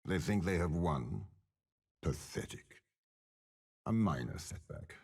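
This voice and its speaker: An actor playing a commanding, menacing, scheming old warlord general in a video game, with a gravelly, husky voice and slow, disdainful delivery. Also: male voice, sits low, lively intonation, a little mellow, exaggerated voice. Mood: contemptuous. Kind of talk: dramatic game character voice lines.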